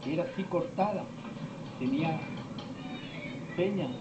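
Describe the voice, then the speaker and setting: Slow-talking man lecturing in echoey room. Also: a little high. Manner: slow, rambling storytelling with many pauses and quoted dialogue. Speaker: teacher of esoteric topics. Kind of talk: informal lecture to students. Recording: echoey room, distant mic, dull lo-fi sound, noisy.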